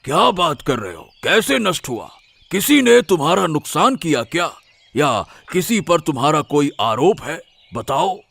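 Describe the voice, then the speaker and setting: A man voicing a kindly older stranger in a moral-story cartoon, soothing and probing with a raspy voice. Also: on the high side, very animated, a little slow, a bit bright, dry recording, full character voice. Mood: concerned. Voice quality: raspy, gravelly.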